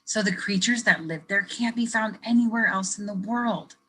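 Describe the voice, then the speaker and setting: A woman educator reading a picture book aloud to children, pausing to ask them questions in a gentle, expressive way. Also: mid-range voice, slight background noise, rough recording. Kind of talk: children's read-aloud with teacher commentary.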